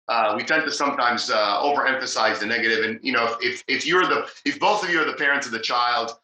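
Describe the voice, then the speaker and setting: A man's voice: medium pitch, fast, pushed and bright, roomy sound, rough recording. A man hosting a podcast, talking casually and with enthusiasm, giving encouraging advice to listeners. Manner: enthusiastic, many fillers and restarts, encouraging. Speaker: podcast host. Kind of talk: casual interview talk.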